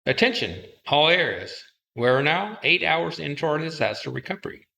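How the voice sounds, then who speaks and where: A man's voice: a little high, very animated, normal speed, gravelly, sharp tone. A man giving formal, procedural radio announcements as a dispatcher in a scripted drill.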